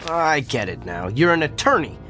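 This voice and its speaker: A brusque, arrogant doctor in a radio drama, who talks with a bright, forceful edge. His pitch sits a little high and swings widely. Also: sing-song, moderate pace, soft background music. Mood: defensive. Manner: curt and defensive. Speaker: physician.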